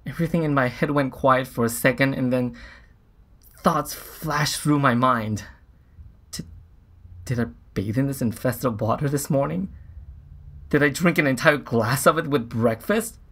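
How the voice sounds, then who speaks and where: An actor playing an earnest, shaken scientist on a Mars mission, recounting events in a halting, reflective way as in a documentary interview. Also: male voice, medium pitch, fairly bright tone.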